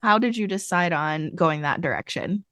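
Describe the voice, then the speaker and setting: A woman hosts a podcast interview, chatty and upbeat, sprinkling "like" through her questions. Her voice sits a little low. The recording is close and dry. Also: lively intonation, normal speed, fairly bright tone, no echo. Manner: upbeat, conversational, filler "like". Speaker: podcast host.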